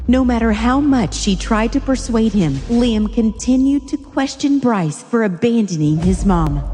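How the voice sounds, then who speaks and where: A woman's voice: mid-range voice, dark tone, big-room sound. A woman reading scripted narration for a dramatic story.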